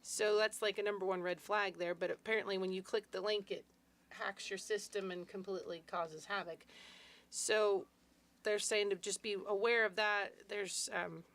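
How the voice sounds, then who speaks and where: A woman co-hosting a radio talk show, calmly explaining in a matter-of-fact way. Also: medium pitch, normal speed. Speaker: radio co-host, tax preparer. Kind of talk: casual radio chat.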